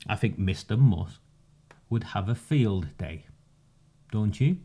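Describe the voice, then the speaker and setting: A man, delivering a measured, sarcastic campaigning monologue with emphatic pauses. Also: fairly low pitch, lively intonation, dark tone. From northern England. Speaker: community campaigner. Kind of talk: direct-to-camera monologue.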